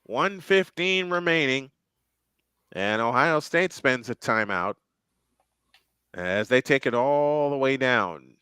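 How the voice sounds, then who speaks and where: A man's voice: mid-range voice, lively intonation, slow pace, long pauses, sharp tone, clean tone, no room sound, clean studio sound. A man doing live play-by-play commentary on a football game, chatty and thinking aloud between plays.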